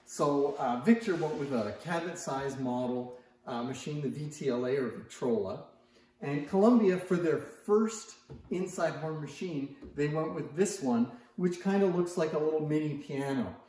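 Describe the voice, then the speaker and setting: Echoey, higher-pitched man presenting casually. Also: animated, moderate pace, warm tone. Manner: conversational, musing aloud. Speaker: presenter of antique phonograph demonstrations. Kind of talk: casual unscripted show-and-tell commentary. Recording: very echoey, reverberant room.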